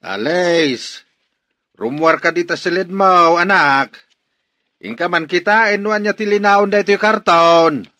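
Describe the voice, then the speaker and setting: An actor with a Filipino accent playing a concerned, forceful father in a radio drama. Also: sits high, measured pace, dry recording.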